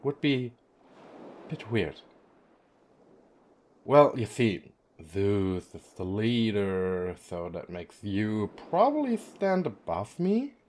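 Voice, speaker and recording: Man acting as young femboy sea god, ASMR roleplay, soft, slightly low, unhurried, hesitant, gentle, weary, playfully kind. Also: big pitch swings. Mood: playful, tender. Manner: soft, hesitant, with frequent pauses and small chuckles.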